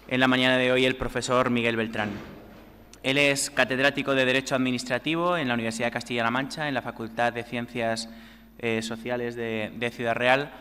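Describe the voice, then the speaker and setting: A man's voice: mid-range voice, pushed and bright, slight background noise. A man moderating an academic seminar, giving a formal introduction with frequent fillers and restarts. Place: lecture hall. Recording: long hall reverb.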